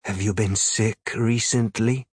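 A man voicing a cool, probing female demon in an audiobook story, with a deep husky voice, a foreign accent and matter-of-fact questioning. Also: steady tone, a little slow, dry recording, studio quality. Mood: clinical probing.

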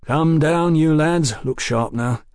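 A police sergeant in an audiobook , acted by a male narrator. He speaks clearly and deliberately, with firm, quiet authority. His tone is wry and admonishing, in a close, dry recording. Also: medium pitch, no echo, lightly acted. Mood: brisk, gruff.

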